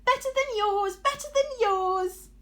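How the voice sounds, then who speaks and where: A smug young woman weaver from a storybook, put on by a woman reader. She is high, loud and taunting, full of boastful pride. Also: measured pace. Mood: gloating. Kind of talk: dramatised storybook reading.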